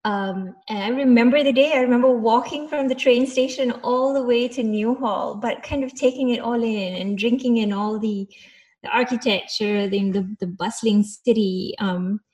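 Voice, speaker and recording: A woman's voice: on the high side, moderate pace, a little mellow, rough recording. A woman in her forties from Malaysia, talking reflectively as an interview guest and often repeating words as she gathers her thoughts. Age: 40s. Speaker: podcast guest, doctor and cancer researcher.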